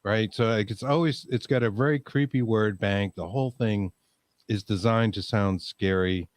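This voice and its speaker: A man's voice: lowish voice, normal speed, no room sound. A man hosting a casual podcast chat, easygoing, with many fillers and easy laughs. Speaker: podcast host.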